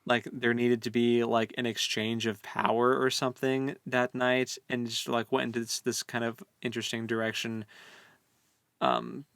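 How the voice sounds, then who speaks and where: A man co-hosting a casual book discussion, speaking in halting, informal phrases. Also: medium pitch, little pitch movement, fairly bright tone, clear, resonant tone, dry recording. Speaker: podcast co-host.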